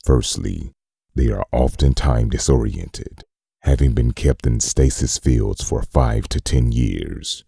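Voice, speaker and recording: Guy acting as super deep husky narrator, grave and formal, reading out a monster hunting manual. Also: dark tone, no room sound, studio quality, heavily acted. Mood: cold, instructive. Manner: measured, formal, instructional. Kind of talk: scripted narration.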